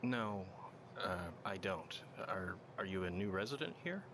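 An actor voicing a fragile, haunted patient in a horror audio drama, gentle and halting as though the words catch in his throat. His voice sits fairly low, and a steady noise hangs beneath it. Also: full character voice. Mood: polite.

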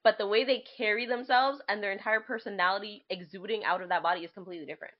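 A woman's voice: medium pitch, fairly even intonation, rapid-fire, highs cut off. A woman in her twenties chatting casually as a podcast co-host, telling anecdotes in a loose conversational way.

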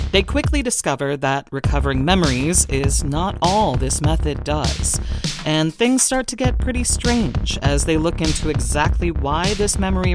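A woman reading a scripted podcast introduction as a show's co-creator, politely promotional in manner. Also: low-pitched. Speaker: audio drama co-creator. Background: music.

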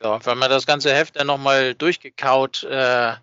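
Bright-voiced man chatting casually, slightly dull recording. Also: medium pitch, fairly even intonation, normal speed, smooth, no room sound. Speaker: podcast co-host. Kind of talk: casual podcast chat about a hobby project.

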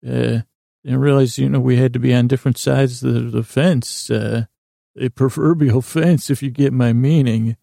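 Sleep podcast guy, super creaky mellow voice, rambles and trails off, kinda absent minded, dry close sound. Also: mid-range voice, fairly even intonation, no room sound. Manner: meandering, halting, self-interrupting, absent-minded. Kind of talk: rambling bedtime monologue.

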